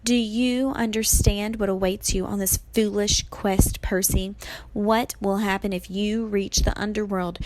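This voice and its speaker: A woman's voice: mid-range voice, fairly even intonation, measured pace, a little mellow, no room sound, close to an everyday voice, rough recording. A woman voicing a sinister grandmotherly monster in a storybook, falsely sweet and coaxing, with a vengeful snarl underneath. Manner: coaxing purr that breaks into snarls.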